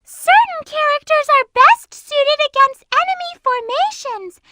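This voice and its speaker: A woman's voice: extremely high pitch, animated, measured pace, bright, forceful tone, dry recording, full character voice. An actress playing a sweet, polite little girl rabbit in a video game, with a childlike manner. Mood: polite, instructive. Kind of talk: video game character lines.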